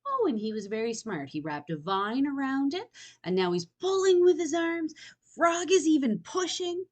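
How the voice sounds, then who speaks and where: A woman reading a picture book aloud to young children, expressive and inviting, pausing to wonder aloud in chatty asides. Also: high voice. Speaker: children's storytime reader.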